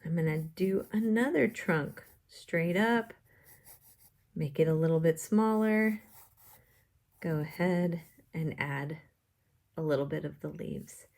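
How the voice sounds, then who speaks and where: A woman's voice: deep voice, takes it slowly, long pauses, darkish tone. A woman art teacher giving step-by-step drawing instructions in simple, encouraging language, often putting questions to the viewer.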